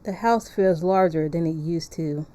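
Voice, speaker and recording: Brooding gothic painter, dark mellow voice read by a woman. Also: on the low side, unhurried, lightly acted. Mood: uneasy, wistful. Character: brooding, melancholy gothic painter narrating haunted memories. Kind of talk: reading visual novel narration aloud.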